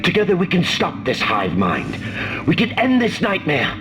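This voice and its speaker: An actor playing a grave, obsessed scientist in a horror game, imploring and zealous. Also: male voice, fairly high pitch, wildly swooping pitch, moderate pace, over music. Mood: urgent, zealous. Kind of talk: dramatic video game acting.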